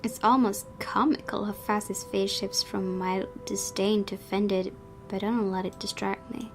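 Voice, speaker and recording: Woman gaming host over clear music. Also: medium pitch, steady tone, moderate pace. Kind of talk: casual game commentary with read-aloud narration. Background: game music.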